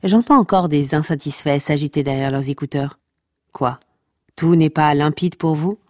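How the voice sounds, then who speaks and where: An actress playing a sardonic, teasing storyteller who narrates comic podcast fiction and scolds listeners with deadpan wit. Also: female voice, sits low, moderate pace, no room sound, studio quality, natural voice. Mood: mock-solemn.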